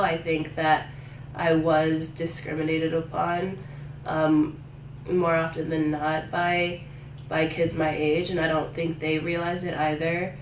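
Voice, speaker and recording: A young woman of eighteen with a low voice answers interview questions casually, pausing often on "um" and "like". She sounds a little distant from the mic, in a room with a lot of echo. A faint rumble sits underneath. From Ohio, USA; born in Taiwan. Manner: hesitant, many fillers like "um" and "like".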